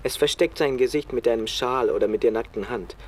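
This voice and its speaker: An actor playing a sober reporter who narrates a radio feature in terse, deliberate sentences. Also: male voice, medium pitch, darkish tone, close to an everyday voice. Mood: sober, bleak. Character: sober male reporter recounting a bleak field search.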